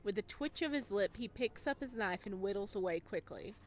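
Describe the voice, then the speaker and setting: A woman reading visual novel narration aloud as a gaming host, with an expressive delivery that can break into reactions. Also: medium pitch, brisk, a little hiss or hum.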